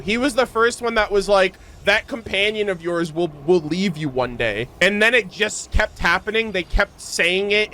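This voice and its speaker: High voiced guy reacting live to a game, bright, slangy, animated, bit of noise behind. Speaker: game streamer. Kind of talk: live reaction commentary, casual chat.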